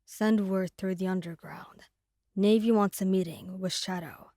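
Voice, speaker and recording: A woman's voice: medium pitch, fairly even intonation, measured pace, mellow, no room sound, clean studio sound, lightly acted. A woman voicing an angry, aggressive young male mafia boss in an audio roleplay, impatient, growling and swearing. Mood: aggressive, determined.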